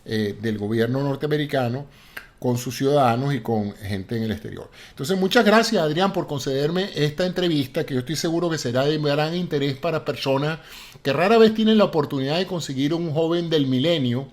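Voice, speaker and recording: Man hosting an interview, slightly high voice. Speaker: interview show host. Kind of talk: interview with long spoken introduction.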